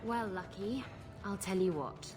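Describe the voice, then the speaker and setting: An actress playing a confident, dangerous rogue in a video game, with a sultry, taunting manner. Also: female voice, medium pitch, a little slow, music in the background, close to an everyday voice. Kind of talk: video game character acting.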